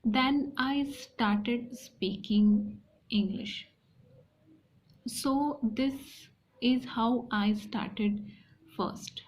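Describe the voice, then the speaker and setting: A woman from India with an Indian accent, speaking hesitant, halting English with repeated phrases in a personal talk to viewers. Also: fairly high pitch. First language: an Indian language alongside Hindi. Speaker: vlogger. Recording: dull lo-fi sound, faint background noise.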